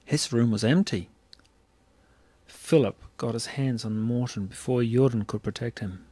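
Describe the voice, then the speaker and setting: Dry-sounding male storyteller, mid-pitched. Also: measured pace, darkish tone, no echo. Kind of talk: scripted story narration.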